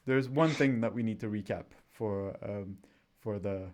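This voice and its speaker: A man from Sweden hosting a casual interview over a remote call, talking in a relaxed, rambling way with frequent restarts. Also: on the low side, measured pace. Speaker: podcast host. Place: home.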